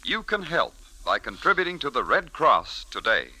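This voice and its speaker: A man's voice: medium pitch, sing-song, normal speed, heavy background noise. A man announcing in formal, earnest old-time radio style, reading from a script. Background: music.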